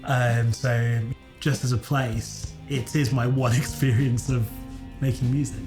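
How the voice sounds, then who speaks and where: A man's voice: medium pitch, level tone, a little slow. A man in his forties, a band's singer, speaking in an easygoing way in a documentary interview. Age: 40s. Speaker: band singer. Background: music bed.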